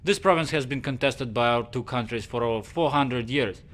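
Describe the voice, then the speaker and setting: A man's voice: mid-range voice, normal speed, fairly bright tone, natural voice. An actor playing a formal male intelligence officer giving a briefing in a game cutscene.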